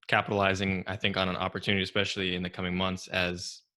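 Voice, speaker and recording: A man hosting a business interview in a measured, conversational way. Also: sits low, monotone, bright, forceful tone. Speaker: interview host, real estate investor.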